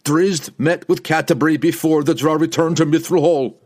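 A man's voice: medium pitch, slow pace, pitched above the performer's own voice. A man voicing a proud, hot-headed young barbarian in a fantasy audiobook, accusing and formal in his phrasing. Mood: indignant.

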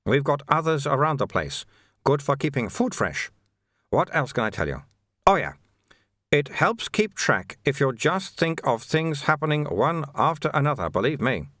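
A male narrator acts out a placid, talkative monk from a storybook. His voice sits on the high side and swoops gently as he soothes and teases. The sound is close and dry. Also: big pitch swings, normal speed, dry recording. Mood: explaining, amused. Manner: casual, soothing, lightly teasing explanations. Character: calm, chatty, placating monk guide, older man. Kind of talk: audiobook character dialogue.

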